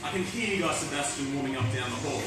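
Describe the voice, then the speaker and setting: A man's voice: a little high, animated, fast talker, natural voice. A man playing a fallen self-help guru in a theatrical monologue, intense, volatile, sardonic and profane, with a confessional bitterness. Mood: bitter, wry. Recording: echoey room, distant mic, music behind.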